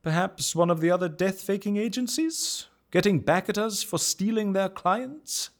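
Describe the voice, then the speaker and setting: An actor playing an excitable, wordy male agent in a comedy audio drama, with a theatrical, amused way of talking. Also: high-pitched, moderate pace, no echo, studio quality. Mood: musing. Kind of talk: comedy fiction podcast acting.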